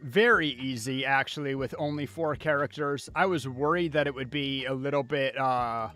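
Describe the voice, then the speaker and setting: A man streams a video game and comments casually on his own play. His voice sits at a middle pitch and he talks at a slow pace, often half to himself. Faint music plays behind him. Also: a bit bright. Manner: casual, muttering self-talk while playing.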